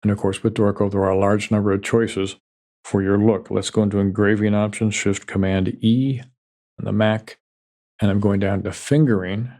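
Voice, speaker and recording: A man giving a calm, methodical, step-by-step software tutorial over a screen recording. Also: on the low side, normal speed.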